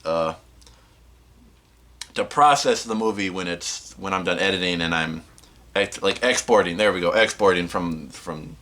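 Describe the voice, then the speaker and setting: A man hosting a casual movie collection vlog, rambling and halting as he interrupts himself, slightly sniffly from a cold. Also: low-pitched, fairly bright tone. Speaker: host of a movie collection video channel.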